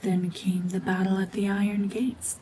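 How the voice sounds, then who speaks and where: A woman voicing a cold, mean, world-weary cyborg witch and war veteran in a hushed, breathy, brooding, deliberate ASMR drama monologue. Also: medium pitch, flat delivery, slight background noise, close to an everyday voice, rough recording. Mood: bitter, brooding.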